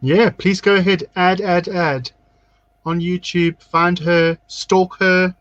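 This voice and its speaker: A man's voice: sits high, fairly even intonation, takes it slowly, a bit bright, no echo. A man from South Africa hosting a casual drag livestream, chatty and camp, reading out viewer comments. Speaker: drag queen host. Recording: dull lo-fi sound, dry.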